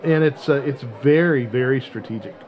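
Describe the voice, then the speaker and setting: A man hosting a casual game chat, explaining things in a wordy, precise, halting way. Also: mid-range voice, darkish tone. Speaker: host of a hobby game podcast.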